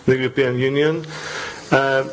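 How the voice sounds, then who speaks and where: A man's voice: mid-range voice, level tone, normal speed, slight background noise, low-quality recording. Greek-accented man speaking on a panel. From Greece. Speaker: panelist, academic. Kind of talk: informal academic talk. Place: public panel event in a library.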